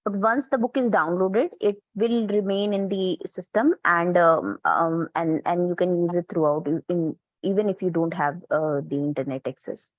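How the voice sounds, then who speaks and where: A woman's voice: lowish voice, moderate pace, dry recording. A woman with an Indian accent answering as a webinar panelist, hesitant and often repeating words. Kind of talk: answering an audience question. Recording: dull, dry online call.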